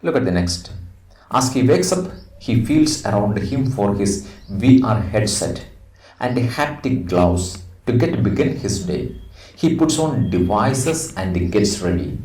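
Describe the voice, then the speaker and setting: A middle-aged man with an Indian accent teaches an English lesson, reading a script aloud and explaining it in a deliberate, didactic way with emphatic phrasing. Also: fairly low pitch, big pitch swings, darkish tone. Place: indoor room. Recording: roomy echo, distant microphone.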